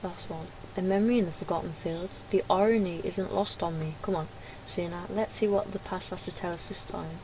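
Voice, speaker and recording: A woman with a slightly low voice reads story dialogue from a game aloud and drops into casual commentary. The home recording has clear background noise. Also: fairly even intonation, fairly quick. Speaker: gaming video creator.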